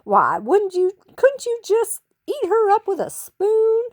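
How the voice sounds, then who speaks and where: Woman doing a gushing, drawling Texan lady, animated. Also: very high voice, takes it slowly, voice pushed up, full character voice. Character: gushing Southern lady visitor from Texas.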